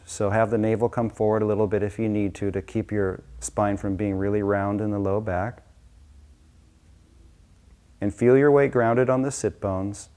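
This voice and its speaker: Mellow low-voiced man guiding meditation. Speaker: meditation teacher. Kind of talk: guided meditation, slow gentle instruction.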